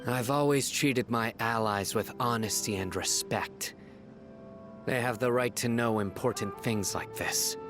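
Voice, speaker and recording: An actor playing a stern, principled young general in a video game, speaking in a grave, measured, authoritative way. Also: male voice, mid-range voice, music in the background, close to an everyday voice. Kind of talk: video game character acting.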